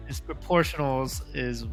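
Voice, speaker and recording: A man co-hosting a casual podcast, given to playful, jokey banter. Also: medium pitch. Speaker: podcast co-host.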